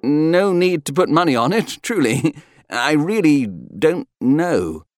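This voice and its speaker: A man's voice: a little high, very animated, takes it slowly, voice pushed up. A man voicing a polite, bookish young spaceman in an audiobook story, earnest and a little anxious. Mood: nervous, polite.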